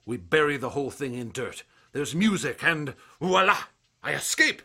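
A brash, confident showman in a radio drama. His bright, slightly high voice bounces with theatrical salesman patter and bold self-promotion. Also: animated, moderate pace, slightly rough, full character voice. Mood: breezy, pitching. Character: brash, confident American showman escape artist.

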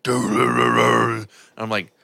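List: man, deep and bright-toned, swears, restarts a lot. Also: completely flat delivery, drawn-out words, no echo, studio quality. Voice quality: deep voice, throat often raspy. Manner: rambling, swears, stammers on restarts. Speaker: podcast guest. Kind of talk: casual chat.

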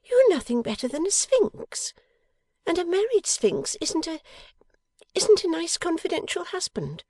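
A woman's voice: very high-pitched, very animated, a little slow, darkish tone, no echo, voice pushed up, heavily acted. A woman voicing a pouting, affectionate young wife in a storybook, coaxing and emphatic. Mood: mock injury. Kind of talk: dramatised audiobook character.

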